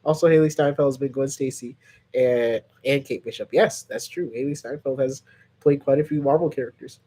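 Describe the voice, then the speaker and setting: A man's voice: mid-range voice, fairly quick, warm tone. A man hosting a channel, chatting casually and chummily with fans on a livestream in a rambling way. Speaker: host of a fan series channel answering questions.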